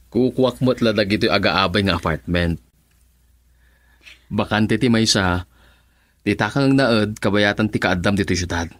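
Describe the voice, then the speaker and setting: A man's voice: lowish voice, measured pace, pauses often, fairly bright tone, no echo. An actor with an Ilocano accent playing a successful, self-assured man in a radio drama. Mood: boastful.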